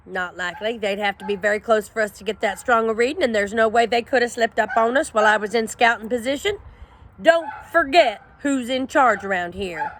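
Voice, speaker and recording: A woman's voice: fairly high pitch, brisk, a bit bright, slight background noise, natural voice. Hank the Cowdog, a woman playing a boastful ranch dog. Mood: blustering. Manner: pompous, rambling, full of bluster. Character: boastful, self-assured male ranch dog.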